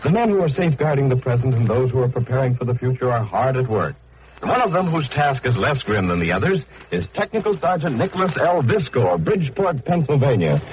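A man narrates as a 1940s radio war correspondent. His medium-pitched voice moves expressively through formal, dramatic phrases. A faint hiss sits on the vintage recording. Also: sing-song, brisk, low-quality recording.

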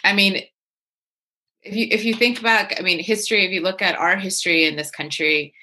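A woman's voice: mid-range voice, fairly even intonation, a little fast, sharp tone. A woman in her forties from California, a guest on a panel discussion, talking earnestly and animatedly with frequent restarts. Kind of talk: candid discussion.

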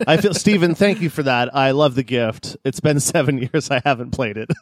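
A man's voice: medium pitch, moderate pace, no echo. A man co-hosting a casual gaming podcast, joking and laid-back. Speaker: podcast co-host.

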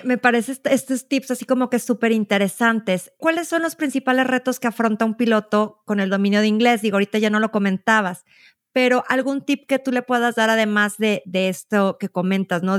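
A woman's voice: medium pitch, fairly quick, dry recording. Mexican woman host, close dry sound. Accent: Mexican Spanish. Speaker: podcast host. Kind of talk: casual interview questions.

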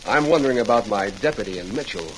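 A folksy frontier lawman, gruff and curious, with very animated pitch. It is excitable radio western acting, heard through heavy hiss and crackle. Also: fast. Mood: puzzled, curious. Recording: hissy, crackly old radio recording.

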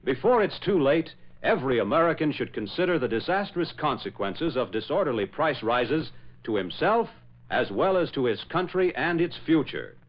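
A man's voice: on the high side, very animated, normal speed. A man announcing and narrating in formal, emphatic, dramatic 1940s radio style. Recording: muffled old radio broadcast.

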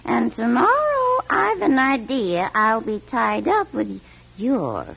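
Radio drama: smug, secret-hoarding older woman, slow and knowing, wildly swooping pitch, lo-fi sound. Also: sing-song, vocal fry, fairly bright tone, full character voice. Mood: sly, smug. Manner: sly, knowing, theatrical delivery. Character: nosy, smug older spinster who collects neighbours' secrets.

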